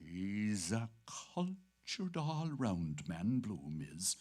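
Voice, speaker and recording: A man's voice: fairly low pitch, big pitch swings, extremely slow, a little mellow, exaggerated voice. An actor with an Irish accent playing a jovial, bawdy Dublin man-about-town, chuckling through a theatrical stage reading. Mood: serious, admiring. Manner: gleeful, chuckling, theatrical storytelling. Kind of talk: animated dramatic reading on stage.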